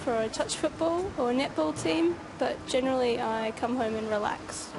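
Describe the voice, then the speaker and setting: A woman with a fairly high voice answers everyday interview questions. She hesitates now and then and uses fillers. Street and traffic noise is clearly heard behind her. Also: moderate pace.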